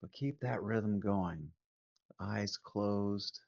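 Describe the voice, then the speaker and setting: Male meditation teacher, phone-like narrow sound, calm and very unhurried, gentle measured instruction with long pauses. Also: fairly low pitch, gravelly. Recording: phone-like narrow band, roomy echo.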